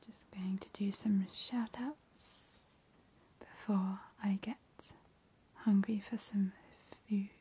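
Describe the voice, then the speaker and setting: Whispering woman, very slow ASMR vampire. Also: medium pitch, steady tone, warm tone, breathy edge. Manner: whispering, slow and soothing, with repeated words. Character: playful, seductive female vampire. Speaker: ASMR host.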